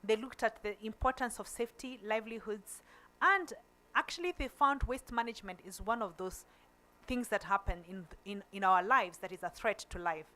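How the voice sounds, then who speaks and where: A woman, a Kamba speaker, presenting at a conference in a voice of middling pitch. She speaks deliberately and takes her time, stumbling and restarting words now and then. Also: bright, forceful tone. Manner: deliberate and slow, with fillers and restarts.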